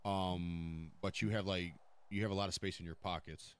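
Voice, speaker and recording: Low-voiced blunt man, swearing freely. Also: steady tone, normal speed, clear, resonant tone. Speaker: streamer playing a mob boss in online roleplay. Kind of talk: casual in-character roleplay chat.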